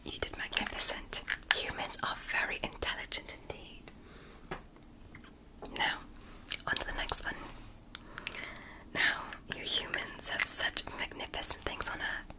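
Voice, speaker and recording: A woman whispering as a gentle alien doctor. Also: close to an everyday voice, low-quality recording. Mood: soothing. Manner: soft, slow, praising and reassuring. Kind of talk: ASMR roleplay.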